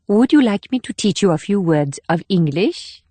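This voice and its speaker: A woman acting a measured, guarded young female operative. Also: mid-range voice, expressive, warm tone, no room sound, lightly acted. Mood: patient, gentle. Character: young North Korean woman operative, gentle, guarded, burdened. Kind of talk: scripted audiobook dialogue.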